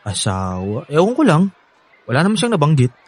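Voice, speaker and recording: A man's voice: a little high, wildly swooping pitch, fairly quick, husky, full character voice. An actor playing an affectionate, eager young man with a Filipino accent in a radio drama, chatty and earnest. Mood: earnest, candid. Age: young adult. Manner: earnest, chatty, laughs often.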